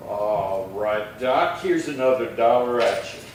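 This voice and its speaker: An actor playing a calm, dry, unhurried Old West marshal in a radio drama. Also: male voice, medium pitch, natural voice. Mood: dry, wry. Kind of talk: old-time western radio acting. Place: live theatre stage performance. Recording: very roomy, distant stage pickup, faint noise.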